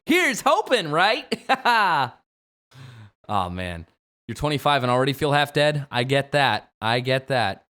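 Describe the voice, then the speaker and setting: Playful young man, lively pitch. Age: 20s. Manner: playful, jokey, swings from mumbling to sudden shouts. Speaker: game streamer. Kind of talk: late-night livestream chat.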